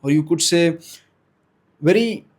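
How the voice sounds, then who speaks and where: A man's voice: on the high side, measured pace. A young man in his twenties with an Indian English accent, a native Hindi speaker, talking hesitantly to camera about fitness and often restarting his sentences. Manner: hesitant, frequent restarts and self-corrections. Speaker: fitness vlogger. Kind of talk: unscripted talk to camera. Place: small room indoors.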